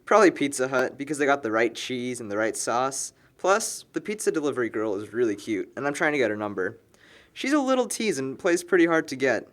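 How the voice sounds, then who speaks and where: A man's voice: medium pitch, sing-song, a little fast, a bit bright. An actor playing a sarcastic, exasperated young man planning a house party in a film drama, talking in wordy, slangy wisecracks. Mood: breezy, teasing. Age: young adult. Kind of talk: naturalistic amateur film dialogue.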